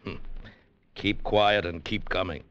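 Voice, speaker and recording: An actor playing a steady, fatherly ship's captain with a measured, commanding manner in an old radio drama. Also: low-pitched. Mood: tired, fatherly. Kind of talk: 1940s-50s radio drama acting. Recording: dull, noisy vintage radio recording.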